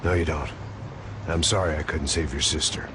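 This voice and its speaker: A man's voice: rumbling low, steady tone, moderate pace, background noise. An actor playing a world-weary male ex-cop bodyguard in a video game, terse and sardonic. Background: music score.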